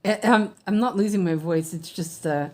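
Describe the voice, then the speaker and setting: A woman chatting casually while stitching at home, rambling with lots of ums, a little hoarse from allergies. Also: lowish voice, normal speed, darkish tone. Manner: chatty, rambling, many ums and self-corrections. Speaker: cross-stitch streamer. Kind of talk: casual stitch-along chat.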